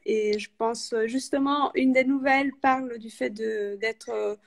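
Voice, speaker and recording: A woman whose first language is not French, speaking on a video call and telling her story thoughtfully, with many pauses and restarts. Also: on the high side, steady tone, gravelly. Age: adult with a daughter. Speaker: guest. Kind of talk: live video call interview.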